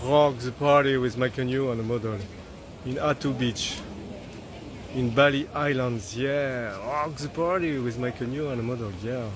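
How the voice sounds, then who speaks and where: A man's voice: medium pitch, moderate pace, heavy background noise. A man hosting a casual vlog outdoors at a beach, upbeat and repeating a catchphrase. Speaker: vlog host. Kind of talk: casual promo chatter.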